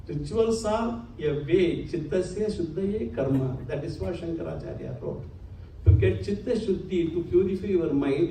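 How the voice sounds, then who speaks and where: A man's voice: sits high, mellow. A man giving an earnest religious lecture to a congregation, using many Sanskrit terms. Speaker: spiritual lecturer. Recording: echoey hall, distant mic, faint hum.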